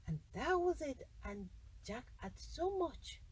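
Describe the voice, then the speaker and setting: Very slow, high-voiced woman storyteller. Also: sing-song, distant mic. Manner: deliberate, pausing between short phrases. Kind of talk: children's bedtime story narration.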